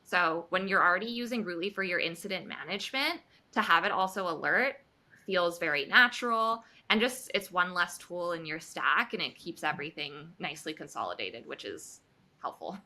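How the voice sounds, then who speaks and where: A woman's voice: lowish voice, animated, normal speed, bright, forceful tone. A woman being interviewed about her work, answering in an articulate, upbeat way with light laughter. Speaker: guest, reliability advocate at a software firm.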